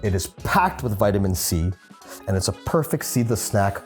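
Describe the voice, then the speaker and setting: A man's voice: fairly low pitch, very animated, normal speed, faint music behind. A man hosting a cooking show, playful and instructive as he talks viewers through a recipe. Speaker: chef and host. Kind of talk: upbeat cooking show presenting.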